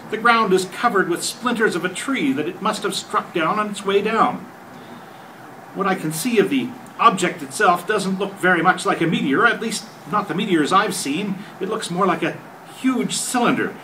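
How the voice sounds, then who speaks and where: An older man playing an excited radio reporter giving formal, urgent eyewitness commentary in old-time radio drama style. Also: high voice, moderate pace. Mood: composed, curious. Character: excited male radio reporter describing a crash scene live. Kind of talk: 1930s radio drama acting, read from a script. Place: hotel conference room, panel table. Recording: noisy phone-camera recording from a distance.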